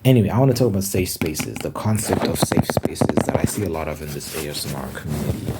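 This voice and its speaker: A man's voice: extremely low pitch, lively intonation, fast talker, heavy background noise. A man from Zimbabwe talking casually to camera in his room, rambling and correcting himself as he thinks aloud. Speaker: ASMR channel host. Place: bedroom with window.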